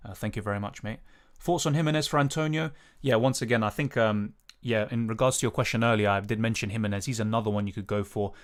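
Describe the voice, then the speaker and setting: A young man with a slightly raspy voice, casually answering chat questions on a live stream with lots of fillers. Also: fairly low pitch, fairly even intonation, fast talker. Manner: casual, hedging, many fillers. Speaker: fantasy football streamer.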